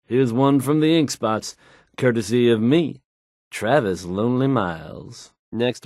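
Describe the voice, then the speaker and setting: An actor playing a cool, self-assured young male radio DJ in a game, introducing songs with smooth, showy confidence. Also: medium pitch, a little mellow, dry recording, clean studio sound, close to an everyday voice.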